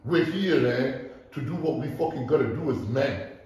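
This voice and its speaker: A man's voice: medium pitch, moderate pace, a little mellow. A man giving a blunt, profane, preachy motivational talk to a group at his gym. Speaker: gym owner giving a seminar. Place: large echoey gym indoors. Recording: echoey gym, distant mic.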